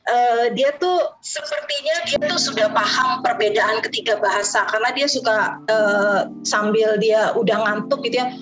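A woman's voice: on the high side, normal speed, dull audio, over loud music. A woman in her thirties from Jakarta with a slight Betawi accent, talking casually as an interview guest and mixing in English words. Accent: Betawi-tinged Jakarta Indonesian. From Jakarta, Indonesia. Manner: chatty, mixes in English words, frequent fillers.

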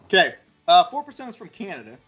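A man's voice: sits high, big pitch swings, fast talker, a bit bright, faint music behind. A man around forty hosting a casual podcast, rambling through stats with crude jokes and many fillers. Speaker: podcast host.

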